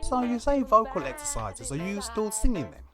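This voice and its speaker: A man hosts a podcast interview and asks his questions in a formal, measured way. His voice sits a little high, and music plays clearly underneath him. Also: sing-song. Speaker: podcast host.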